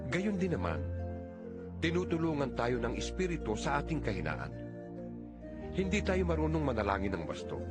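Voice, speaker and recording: A man narrates an audio Bible in a dramatized style, with a mid-pitched voice that moves widely up and down. His delivery is earnest and emphatic, in measured phrases. Music plays clearly behind him. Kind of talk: dramatized scripture reading. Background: background music.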